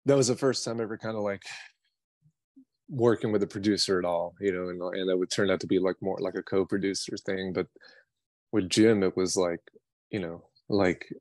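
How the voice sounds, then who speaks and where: A man's voice: low voice, steady tone, moderate pace, a little mellow, no echo. An American man from New York City, a singer-songwriter chatting casually in an interview in a rambling, slangy, self-deprecating way. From New York City, United States.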